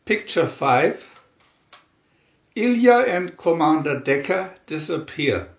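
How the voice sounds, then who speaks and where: Male narrator, slow and dramatic, lively swooping pitch, for picture-story booklet readings. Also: vocal fry. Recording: dull, echoey room recording.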